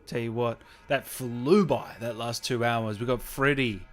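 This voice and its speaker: A man's voice: mid-range voice, steady tone, measured pace, slight background noise. A man hosting a casual livestream interview, matey and encouraging with his guest and viewers.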